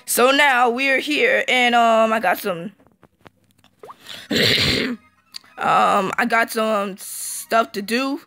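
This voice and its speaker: A man with a sore, hoarse voice talks over his gameplay slowly and haltingly, filling gaps with ums and clearing his throat. The sound is dry and close, picked up on a phone. Faint game music plays behind him. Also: extremely high pitch, pushed and bright, no room sound. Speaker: let's play gamer. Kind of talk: casual gameplay commentary.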